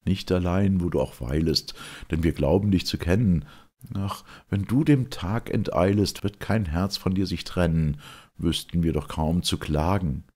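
A man voicing a solemn ancient Greek chorus, admiring and mourning in measured verse. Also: low-pitched, darkish tone. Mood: mournful.

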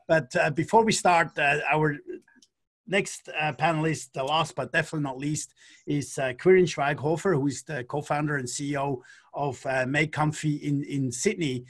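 A man's voice: a little high, fairly even intonation, normal speed. A man in his forties moderating an online panel from a home office, upbeat, with many fillers and repeated words. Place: home office, video call.

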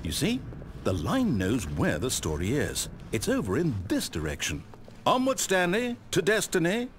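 A man's voice: lowish voice, huge pitch swings, moderate pace, a little hiss or hum. A man with a British accent playing a fussy, whimsical, easily exasperated storyteller in a video game, conversational and theatrical. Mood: bright, musing. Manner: conversational, self-interrupting, dramatic. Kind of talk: theatrical scripted narration.

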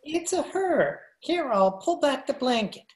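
A man's voice: very high voice, sing-song, measured pace, heavily acted. An older man playing a timid, mild-mannered radio director in an amateur old-time radio comedy. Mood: earnest. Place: living room by staircase, video call. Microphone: video call.

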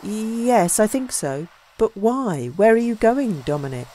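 A reader voicing a weak young man, dazed and frightened after a wreck. His questions come out high, strained and feeble. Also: very animated, measured pace, warm tone, slight background noise, poor audio. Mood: anxious. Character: shaken, weak young man, a ship's owner.

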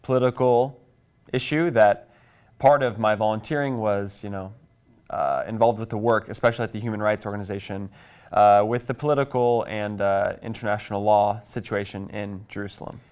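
A man's voice: fairly low pitch, steady tone, normal speed, darkish tone, clean tone. A man in his twenties from Kansas with an American accent, answering conversationally as an interview guest. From Kansas, United States. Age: 20s. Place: small TV studio, indoors. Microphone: lapel mic.

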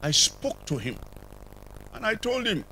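An older man with a Nigerian accent, telling his conversion testimony as a church apostle, with sudden rises and drops in loudness, on a handheld mic in a large church. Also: high-pitched, big pitch swings, a little slow, a little hiss or hum, low-quality recording. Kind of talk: testimony sermon. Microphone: handheld microphone.

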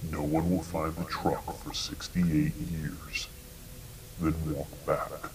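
Man voicing a very deep, sinister static entity. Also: low-quality recording. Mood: calm, instructing. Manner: slow, mocking, calmly menacing. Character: sinister, taunting male entity living in TV static. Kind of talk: analog horror scripted dialogue.

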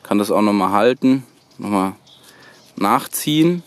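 A man's low voice at a woodland campsite, slowly and haltingly showing a beginner a practical trick while birds sing. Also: lively intonation. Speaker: host of a bushcraft tutorial channel. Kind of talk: hands-on how-to demonstration. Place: outdoors on a woodland floor, birdsong behind.

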